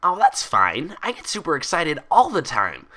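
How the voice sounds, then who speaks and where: Man putting on a high, hyper, chirpy girl pony. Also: normal speed, heavily acted. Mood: bubbly. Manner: bubbly, rambling, childlike excitable chatter with filler "like". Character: hyper, cheerful, gullible young female cartoon pony.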